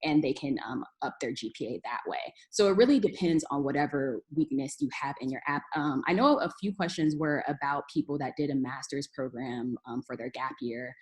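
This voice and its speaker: A woman's voice: extremely low pitch, fairly quick, few pauses, darkish tone. A woman moderating a video-call panel, explaining the reapplication steps plainly before handing over to the panelists. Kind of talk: casual advice on a video call.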